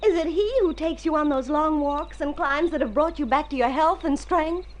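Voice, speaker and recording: A woman's voice: sits high, moderate pace, natural voice. An actress playing a sweet, earnest young country woman in an old radio drama, ingenuous and fond. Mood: delighted. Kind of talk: golden-age radio drama acting.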